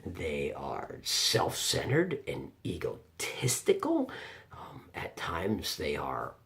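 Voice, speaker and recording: Male reviewer, informal and emphatic, slow pacing with dramatic pauses, very animated intonation. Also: deep voice. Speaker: audiobook reviewer. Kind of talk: informal spoken video review. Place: home office.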